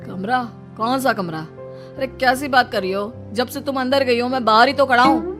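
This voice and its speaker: A woman's voice: a little high, moderate pace, a bit bright, soft background music, close to an everyday voice. A woman voicing a put-upon, complaining adult son and husband in a Hindi cartoon story. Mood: indignant.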